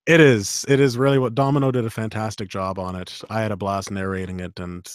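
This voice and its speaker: A man's voice: on the low side, a little fast, clear, resonant tone, dry recording, clean studio sound. Man with slight Canadian accent. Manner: steady dramatic reading, chatty and laughing in talk. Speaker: audiobook narrator and host. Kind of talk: audiobook narration, then casual chat. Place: small home studio with dark drapes. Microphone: studio condenser mic with headphones.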